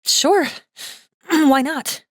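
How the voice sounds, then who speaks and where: A woman's voice: sits high, drawn-out words, no echo, studio quality, pitched above the performer's own voice. A woman voicing a nineteen-year-old college girl, nervous and flippant, with hesitant, rambling, slangy speech.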